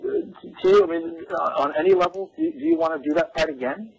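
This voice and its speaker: A man's voice: high-pitched, wildly swooping pitch, brisk, gravelly, slight background noise, low-quality recording. A man asking long, rambling interview questions.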